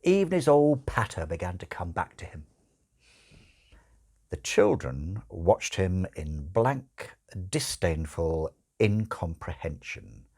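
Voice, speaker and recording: A man reading a literary audiobook as narrator, expressive, with wry, deliberate phrasing. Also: deep voice, vocal fry.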